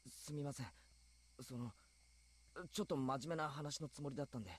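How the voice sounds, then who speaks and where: An actor playing an earnest, uneasy teenage schoolboy in a visual novel, with hesitant phrasing. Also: male voice, mid-range voice, rapid-fire, husky, close to an everyday voice. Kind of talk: Japanese visual novel voice acting.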